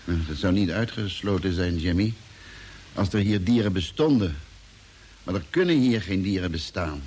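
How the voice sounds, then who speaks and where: A man's voice: low-pitched, animated, normal speed, darkish tone. Firm, sceptical spaceman, faintly noisy recording. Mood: sceptical, dismissive. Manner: firm, precise, matter-of-fact. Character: Mitch, sceptical, authoritative male space engineer. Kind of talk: mid-century radio drama acting. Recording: noisy old radio play recording.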